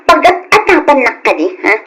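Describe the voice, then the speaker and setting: An aggrieved young wife and mother in an old Ilocano radio drama. Her voice is high and nagging, captured with the sound of old radio. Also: sing-song, normal speed, a bit bright. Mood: indignant.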